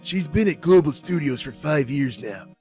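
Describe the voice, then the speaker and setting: A man's voice: a little high, lively intonation, normal speed, natural voice. A man voicing a meek, earnest, hesitant actor character in an amateur game fandub. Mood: informative. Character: meek, earnest male action-show actor, gentle and unsure. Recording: music faintly behind the voice.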